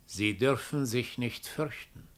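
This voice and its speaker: Male actor as implacable killer double for radio drama; mid-pitched, measured, solemn and formal. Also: natural voice. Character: calm, implacable doppelgänger, a confessed killer bringing a death sentence.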